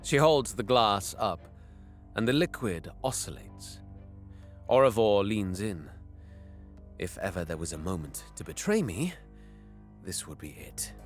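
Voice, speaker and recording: A man playing a bitter, scheming young alien warrior, narrating and speaking with measured, guarded care in an audio drama. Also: fairly low pitch, sing-song, soft background music. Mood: brooding narration. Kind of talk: first-person dramatic narration and dialogue.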